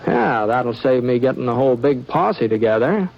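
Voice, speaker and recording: An actor playing an easygoing, sly drifting cowboy sheriff in a 1950s radio western, with a halting, folksy drawl. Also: male voice, mid-range voice, expressive, creaky. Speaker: lead actor and narrator. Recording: dull old radio broadcast with music.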